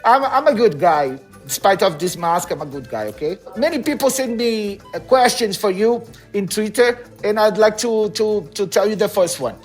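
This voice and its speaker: A man's voice: high-pitched, lively intonation, normal speed, few pauses, faint music behind. A young man with a Brazilian accent hosting a playful web show interview, joking and laughing often as he asks questions. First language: Portuguese. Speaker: host. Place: decorated room indoors.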